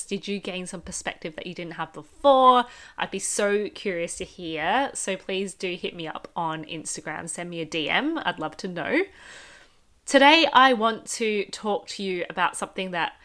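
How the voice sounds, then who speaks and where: A woman's voice: medium pitch, fairly bright tone. Chatty Australian woman podcaster. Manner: chatty, upbeat, speaks straight to the listener. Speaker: business podcast host. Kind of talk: casual solo podcast monologue.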